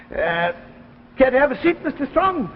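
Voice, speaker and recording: Old bookish professor: high, gravelly, excitable, musing. 1950s radio play, long reverb. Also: male voice, unhurried, exaggerated voice. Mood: genial, enthralled. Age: older. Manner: theatrical, musing, gleeful. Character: elderly bookish father, eccentric, excitable professor. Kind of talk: 1950s radio drama acting. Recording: dull old broadcast, hall reverb, faint noise.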